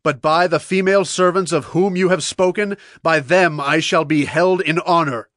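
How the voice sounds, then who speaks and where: A man's voice: on the high side, steady tone, normal speed, fairly bright tone, no echo, clean studio sound, lightly acted. A man playing a devout, earnest king of Israel in a dramatized scripture reading. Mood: defiant. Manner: earnest, declarative.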